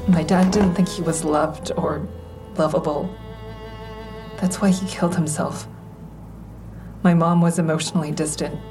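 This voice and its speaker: A woman's voice: fairly low pitch, warm tone, close to an everyday voice. An actress in an audio drama playing a guarded, self-doubting, caring woman, reflective and hesitant in dialogue and first-person narration.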